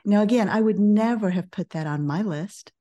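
A middle-aged woman speaking as a podcast guest, a business coach who talks in a calm, measured way. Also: medium pitch. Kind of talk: podcast interview.

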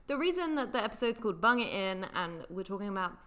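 A woman's voice: medium pitch, very animated, fast talker, fairly bright tone. A woman co-hosting a casual chat, explaining slang with frequent laughter. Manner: laughs often, explains patiently. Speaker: podcast co-host.

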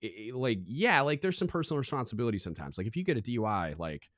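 A man with a deep booming voice, co-hosting a podcast in a candid, rambling way full of fillers. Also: sing-song, racing, a bit bright, no echo, studio quality. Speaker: podcast co-host. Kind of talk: casual podcast conversation.

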